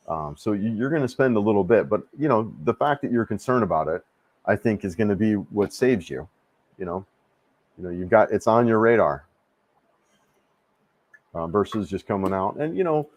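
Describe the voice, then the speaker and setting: Male host, 50s, lowish voice, casual rambling livestream chat, dry room. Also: darkish tone, dry recording. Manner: rambling, restarts often, laid-back and friendly. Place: home room.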